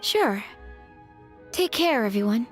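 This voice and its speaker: An actress playing a calm, discreet funeral parlor attendant in a video game, formal and measured. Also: female voice, on the high side, soft background music. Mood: calm, polite. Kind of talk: English video game voice acting.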